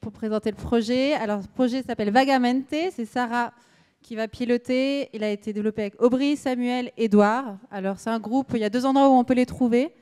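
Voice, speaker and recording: Smooth-voiced woman emceeing on stage. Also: a little high, brisk, a little mellow. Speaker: event host. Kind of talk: emcee introductions.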